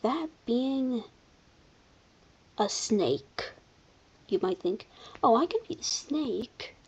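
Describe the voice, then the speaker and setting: A commentator giving casual, unscripted video commentary in an informal, halting way, often backtracking. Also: mid-range voice, animated, darkish tone, breathy edge. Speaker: video creator presenting a ranking.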